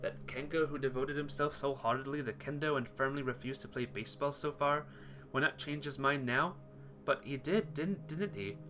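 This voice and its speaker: A man's voice: medium pitch, normal speed, sharp tone. A man reading visual novel text aloud as narration, sometimes stumbling over words and correcting himself. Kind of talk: narrated read-aloud of game text, acting out dialogue. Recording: music playing behind the voice.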